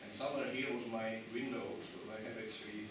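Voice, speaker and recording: Man, from the Republic, lecturer, halting with fillers, distant mic, echoey noisy hall. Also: mid-range voice, fairly even intonation. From Czech Republic. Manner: halting, many restarts and fillers, asks the audience questions. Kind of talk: conference lecture.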